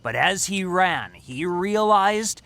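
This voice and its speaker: A man's voice: high voice, lively intonation, slow, vocal fry, bright, forceful tone, exaggerated voice. A man playing a cocky, teasing teenage camp counselor who tells stories dramatically in an audio drama. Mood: suspenseful storytelling. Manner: teasing, dramatic storytelling delivery. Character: cocky teenage summer camp counselor telling a scary story.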